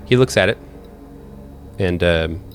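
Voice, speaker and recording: A low-voiced man runs a tabletop game as its game master. He tells the scene in halting, measured pieces, improvising as he goes, with music beneath him. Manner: halting, hesitant, measured storytelling.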